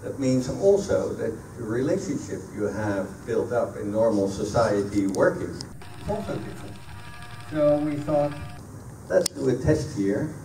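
A middle-aged man from the Netherlands with a Dutch accent and native Dutch, answering audience questions at a talk, often pausing mid-sentence. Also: mid-range voice, lively intonation, vocal fry. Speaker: guest speaker, sailor. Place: meeting room at a sailing club. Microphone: handheld PA microphone, recorded by a camera across the room. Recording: echoey room, distant camera microphone, noisy.

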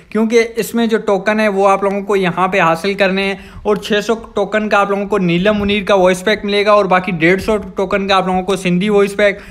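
Young male gaming host, Pakistani accent, high voice, casual explanatory voice-over.

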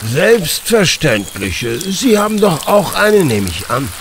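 Wry, stubborn, creaky old man. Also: a little high, very animated, takes it slowly, slight background noise, heavily acted. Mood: gently amused. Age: older. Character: stubborn, wry old tree-loving husband. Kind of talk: German audio drama acting.

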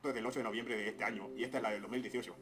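Deep-voiced young man telling his story, very fast. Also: crowd noise. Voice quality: unusually deep, announcer-like voice. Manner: calm explanatory storytelling. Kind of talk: confessional video monologue. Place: dim room at home.

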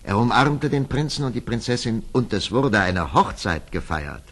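A man's voice: medium pitch, big pitch swings, normal speed, fairly bright tone, slightly rough. A man narrating a scripted fairy tale as a dramatic storyteller.

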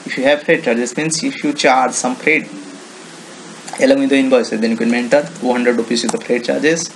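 A man with an Indian accent presents an informal software tutorial. His mid-pitched voice has a noticeable creak, and he often repeats words in non-native English phrasing. The home-made recording carries faint noise behind him. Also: low-quality recording. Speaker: software demonstrator.

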